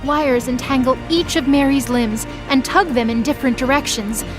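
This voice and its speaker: High-pitched woman narrating drama over music. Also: normal speed, low-quality recording.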